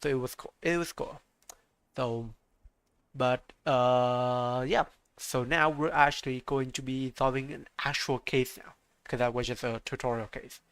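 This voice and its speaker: Male streamer, casual commentary, gravelly, halting, chatty. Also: mid-range voice, steady tone, a bit bright, no echo. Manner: halting, many fillers and restarts, chatty. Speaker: streamer playing a game.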